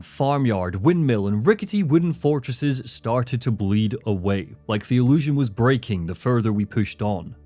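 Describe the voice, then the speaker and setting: A man narrating a scripted video essay as its host, with dramatic, deliberate phrasing. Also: mid-range voice, darkish tone. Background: faint background music.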